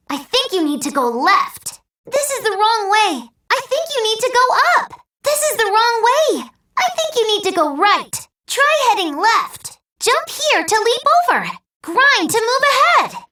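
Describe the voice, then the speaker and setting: A woman voicing a small, cheerful robot helper who gives chirpy, clearly spoken tutorial hints in a video game. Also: very high-pitched, pushed and bright, roomy sound, distant mic, full character voice. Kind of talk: scripted game instructions and hints.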